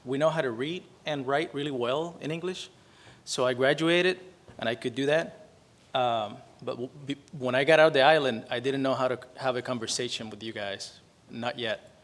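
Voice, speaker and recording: A man's voice: mid-range voice, moderate pace, a bit bright. Puerto Rican man telling a story. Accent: Puerto Rican Spanish. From Puerto Rico. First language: Spanish. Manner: chatty, frequent "right?" tags, self-deprecating. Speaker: speaker giving opening remarks. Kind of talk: casual storytelling speech.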